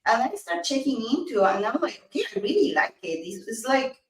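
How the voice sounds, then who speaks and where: Woman, Peruvian Spanish accent, thirties, earnest, halting restarts, echoey room, distant from the mic. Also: fairly high pitch, very animated, a crowd in the background. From Peru. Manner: earnest, many restarts and fillers. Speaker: dentist, guest. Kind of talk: casual interview chat. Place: small office, indoors. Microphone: video call webcam. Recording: roomy, distant video-call audio.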